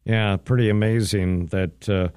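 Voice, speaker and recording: A man's voice: fairly low pitch, steady tone, measured pace, darkish tone, clear, resonant tone, no room sound, clean studio sound. A man hosting a radio interview, affable and agreeable, who chimes in often and shares friendly remarks. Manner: affable, agreeable, many short backchannels. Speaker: radio host.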